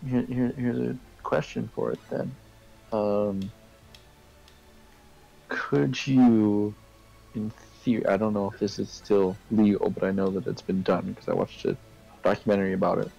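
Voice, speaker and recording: A man's voice: lowish voice, flat delivery, a little slow, gravelly, warm tone, muffled recording, faint music behind. A man casually explaining his point in a discussion, often checking in with a "right?" or "okay?" as he goes. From Canada. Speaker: participant in a group discussion. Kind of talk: casual debate, chat.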